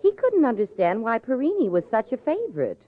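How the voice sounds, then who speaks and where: An actress playing a flirty, chatty cigar stand clerk in a vintage radio crime drama. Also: female voice, on the high side, sing-song, fairly quick. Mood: gossipy. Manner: sassy, chatty. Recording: tinny vintage radio broadcast.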